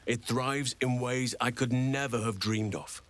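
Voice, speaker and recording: An actor playing a gentle, wise old druid man in a fantasy video game, speaking in formal, measured, earnest sentences. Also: medium pitch, steady tone, close to an everyday voice. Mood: earnest, proud. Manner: formal, measured, eloquent speech.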